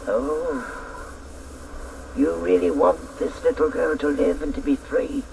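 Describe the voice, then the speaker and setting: A woman's voice: low voice, vocal fry, a little mellow, a little hiss or hum, heavily acted, low-quality recording. An actress in a radio drama playing a scornful, vengeful old woman who taunts imperiously and draws out her words. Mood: menacing, commanding. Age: older. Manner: taunting, imperious, drawn-out theatrical delivery.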